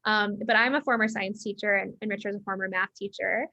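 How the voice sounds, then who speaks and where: A woman's voice: mid-range voice, fairly even intonation, brisk, pushed and bright, no room sound, highs cut off. An American woman hosting an online webinar as an education company head, upbeat and chatty with frequent fillers, on a video call. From United States.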